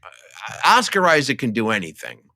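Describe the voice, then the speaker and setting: A man co-hosts a film discussion with a lively, swooping delivery. His voice is bright and forward, recorded close and dry in a studio. He speaks casually and emphatically, with clear enthusiasm. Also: medium pitch, normal speed, dry recording. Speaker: film podcast co-host. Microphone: studio microphone, close.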